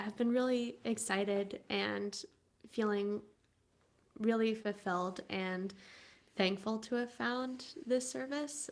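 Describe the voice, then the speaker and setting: Woman, congregation member sharing a reflective personal story, noticeable vocal fry, halting and sincere. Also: mid-range voice. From United States. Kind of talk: personal testimony at an online church service.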